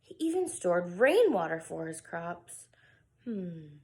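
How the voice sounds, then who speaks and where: A woman's voice: fairly low pitch, big pitch swings, takes it slowly. A woman reading a picture book aloud to young children, expressively and with casual asides. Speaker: preschool storyteller.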